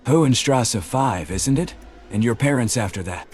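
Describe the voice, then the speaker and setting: A man's voice: mid-range voice, animated, moderate pace, faint music behind, close to an everyday voice. A man voicing a cheerfully menacing enforcer. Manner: cheery tone over quiet threats, says "mate". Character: menacing jovial male enforcer, thickset hired guardian.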